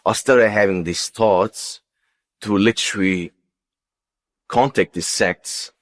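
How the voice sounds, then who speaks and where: A man who speaks as a tells his personal story as an interview guest, in a halting, unscripted way with repeated words and phrases. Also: on the low side. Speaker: interview guest giving testimony.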